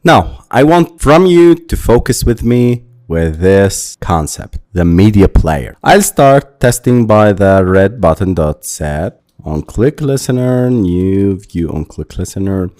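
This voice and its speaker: A man giving a step-by-step programming tutorial in a deliberate, instructive manner. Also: on the low side, animated, a little mellow. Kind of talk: tutorial narration with step-by-step explanation.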